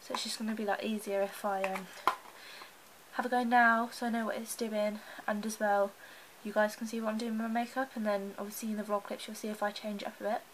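A woman's voice: mid-range voice, little pitch movement, brisk, a little hiss or hum, low-quality recording. A young woman vlogging in her bedroom, a bit bunged up from a cold, talking anxiously and chattily to a handheld camera. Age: young adult. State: congested from a cold. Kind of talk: casual vlog chat to camera. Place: bedroom at home. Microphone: handheld camera close up.

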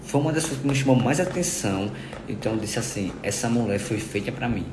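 A man's voice: mid-range voice, expressive, fast. Twenty-something guy, phone-at-arm's-length selfie chat at home, faint hiss behind. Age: 20s. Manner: chatty, affectionate, playful. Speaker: social media influencer. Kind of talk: casual selfie Q&A. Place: indoors at home, bedroom and kitchen.

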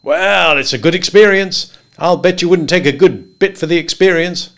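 A man's voice: high voice, moderate pace, a bit bright, pitched above the performer's own voice. A man voicing a jovial older male draft-board official who talks in a breezy, coaxing way. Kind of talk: audiobook character voice.

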